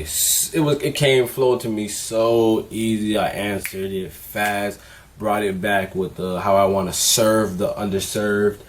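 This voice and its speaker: A man in his twenties vlogging casually, candid and relaxed, with plenty of fillers as he talks through his experiences. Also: fairly low pitch. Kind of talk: casual vlog advice.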